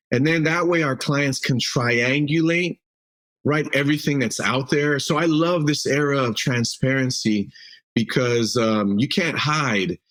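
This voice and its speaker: Brief: Mexico-born company boss on a podcast, mid-pitched, rambling and friendly with many "um"s. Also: male voice, a bit bright. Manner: loose and talkative, many fillers and restarts. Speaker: roofing company CEO, podcast guest. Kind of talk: casual interview answers.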